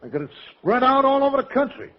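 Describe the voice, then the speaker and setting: An actor playing a brash, crooked gangster scorekeeper who talks in slangy, mangled tough talk in a vintage radio comedy. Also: male voice, very high-pitched, sing-song, fast. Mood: cheerfully menacing. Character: crooked gangster scorekeeper, wisecracking, cheerfully menacing man. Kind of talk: 1940s-50s radio comedy acting. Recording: dull vintage radio, audience, heavy noise.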